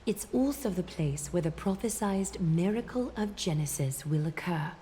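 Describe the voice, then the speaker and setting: A woman playing a serene, solemn priestess in a video game, speaking slowly and formally with a breathy, sometimes whispering voice. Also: fairly low pitch, lively intonation, warm tone, slight background noise, lightly acted. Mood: solemn, instructive. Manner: slow, deliberate, formal and commanding. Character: serene, solemn priestess guiding a sacred water ritual. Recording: background music under game dialogue.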